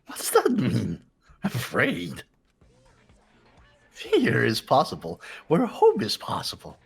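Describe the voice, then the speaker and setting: A man's voice: medium pitch, huge pitch swings, takes it slowly, creaky, fairly bright tone, breathy edge, faint music behind, exaggerated voice. A man voicing a mocking, gleefully evil robot bear mastermind in an amateur video game dub, theatrical and taunting. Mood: menacing.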